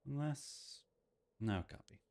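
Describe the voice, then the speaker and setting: A man's voice: mid-range voice, unhurried, dark tone, voice pushed up, close to an everyday voice. A man voicing chatty, teasing visual novel characters. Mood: playful, questioning. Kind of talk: reading visual novel dialogue aloud. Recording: game music behind the voice.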